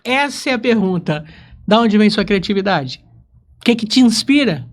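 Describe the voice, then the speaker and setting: A woman's voice: mid-range voice, expressive, moderate pace. A woman with a Brazilian accent hosting a casual interview, with a playful, teasing way of talking. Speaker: podcast host.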